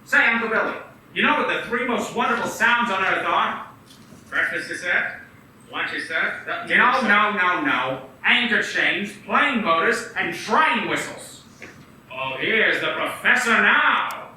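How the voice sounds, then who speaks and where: A man's voice: sits high, a little slow, a little hiss or hum, heavily acted. A man playing an eager young dreamer, echoey. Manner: lively, bantering, shifts to subdued. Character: young man George, restless dreamer, warm and joking. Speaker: actor in a community radio play. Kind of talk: radio play acting. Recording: echoey room from a distance.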